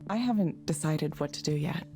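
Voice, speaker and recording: Low, mellow, reserved counsellor. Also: female voice, soft background music. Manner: calm, measured, understated. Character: reserved, soft-spoken woman working as counselling proxy. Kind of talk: visual novel voice acting.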